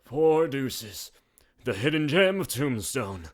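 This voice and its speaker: A man's voice: medium pitch, very animated, slow, pitched above the performer's own voice. Man putting on a drawling old Western bartender. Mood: proud, boastful. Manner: drawling, folksy, theatrical. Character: folksy old male saloon bartender, boastful storyteller.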